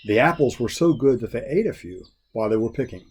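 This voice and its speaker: Husky man reading a folk tale. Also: medium pitch, expressive, quick pace, darkish tone. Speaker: story reader.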